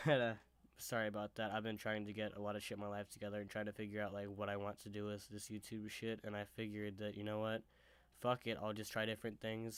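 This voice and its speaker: A young man with a lowish, smooth voice tells a story in a casual, conversational way. He is sick, with a sore throat and stuffy sinuses, and the sound is close and dry. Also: no echo. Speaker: YouTuber. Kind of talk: storytelling vlog.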